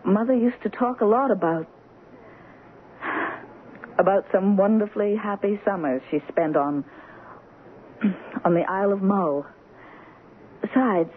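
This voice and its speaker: An actress playing a sensitive, cultured young American wife in a radio drama. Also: female voice, mid-range voice, unhurried, pauses often, lightly acted. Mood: fragile, pleading. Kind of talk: old radio drama acting. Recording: muffled, hissy old radio broadcast.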